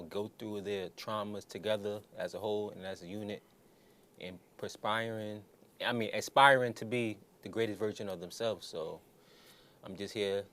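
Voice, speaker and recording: A man's voice: lowish voice, a bit bright, slight background noise. A man from New York City speaking earnestly and conversationally as an interview guest. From New York City, born in the Bronx, raised in Queens. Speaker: interview guest, nonprofit founder.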